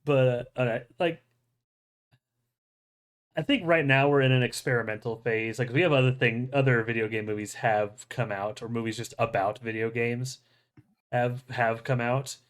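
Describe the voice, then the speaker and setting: A man's voice: mid-range voice, fairly even intonation, moderate pace. A man hosting a podcast, chatting casually and enthusiastically as a fan, with plenty of swearing. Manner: profane, enthusiastic fan talk. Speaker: podcast host. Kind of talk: casual chat about movie news.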